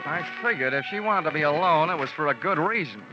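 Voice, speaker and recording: Casting: actor as a wry hard-boiled detective narrator, old radio sound, dull lo-fi with score. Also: male voice, fairly high pitch, lively intonation, quick pace, loud music behind the voice, close to an everyday voice. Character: wisecracking male insurance investigator, dry and sardonic. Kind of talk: 1950s radio drama acting.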